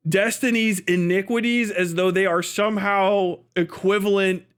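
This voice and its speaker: High-pitched, blunt, profane American man ranting. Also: fairly even intonation, moderate pace, a bit bright, clean tone. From United States. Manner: emphatic, acts out mock dialogue. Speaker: livestream commentator. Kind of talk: unscripted livestream rant.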